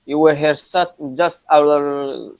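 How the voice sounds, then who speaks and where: A man in his twenties with a Moroccan accent, practising English conversation casually in halting learner phrases, often repeating words, over a lo-fi internet call. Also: on the high side, fairly even intonation, creaky, clear, resonant tone, no room sound. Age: 20s. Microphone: video call. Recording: dull lo-fi internet call audio.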